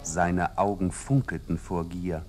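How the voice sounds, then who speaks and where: A man narrating a scripted fairy tale as storyteller, in a measured and expressive manner. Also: low voice, over loud music.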